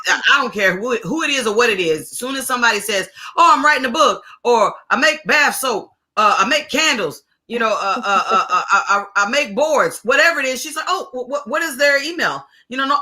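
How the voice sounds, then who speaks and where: A woman's voice: fairly high pitch, expressive, a little fast, pushed and bright. A woman co-hosting a podcast, talking casually and rambling with many restarts and repeated words. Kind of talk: casual chat.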